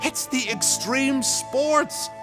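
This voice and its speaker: A man's voice: very high-pitched, extremely slow, music in the background, heavily acted. A man voicing an eccentric, talkative, playfully smug schemer in a video game, with a theatrical, mock-polite manner. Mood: playful, impressed.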